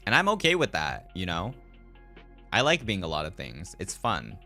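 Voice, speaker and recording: A man's voice: low-pitched, huge pitch swings, moderate pace, sharp tone. A man who streams as a virtual character, chatting casually to live viewers in a rambling, reflective way and often repeating his own phrases. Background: background music.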